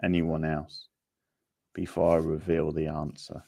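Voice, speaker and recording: Male quiz host, casual and unhurried, deep creaky voice with a halting delivery. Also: monotone, mellow. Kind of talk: casual live-stream quiz hosting.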